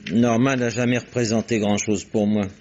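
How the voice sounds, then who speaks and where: A man's voice: lowish voice, little pitch movement, normal speed, darkish tone, slight background noise, close to an everyday voice. An actor in an old radio drama playing a cynical, blunt father who is sardonic and self-assured. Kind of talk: 1960s French radio drama acting. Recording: dull old radio broadcast recording.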